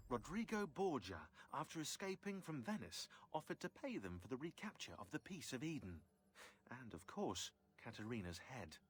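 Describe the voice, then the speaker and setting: A man's voice: medium pitch, natural voice. A man playing a wry, sardonic historian who narrates villain biographies in a video game. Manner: wry, sardonic, droll storytelling.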